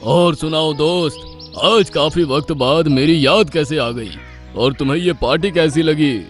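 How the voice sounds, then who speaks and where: A man's voice: on the high side, unhurried, soft background music, close to an everyday voice. An actor playing a proud lion king of the jungle, hearty and trusting, in a children's cartoon. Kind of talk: Hindi children's cartoon voice acting.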